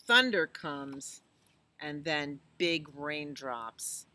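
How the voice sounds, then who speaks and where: A woman's voice: low-pitched, lively intonation, drawn-out words, pushed and bright. A woman reading a picture book aloud to children, with chatty asides, exclamations and questions to her listeners. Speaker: children's storytime reader at a library.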